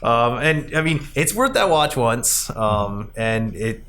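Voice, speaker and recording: A man's voice: mid-range voice, very animated, slow, fairly bright tone, a little hiss or hum. A man hosting a podcast in a casual, conversational style. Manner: chuckles often while talking. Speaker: podcast host, arcade company staff.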